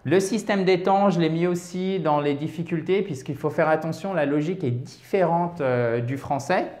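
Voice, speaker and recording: A French man teaching English online, his voice sitting a little higher than most men's. He talks in a chatty way, walking through examples one step at a time. He keeps checking in with quick little "ok?" asides. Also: a little mellow, reverberant. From France. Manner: chatty, checks in often with "ok?" and "d'accord?". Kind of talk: online language lesson.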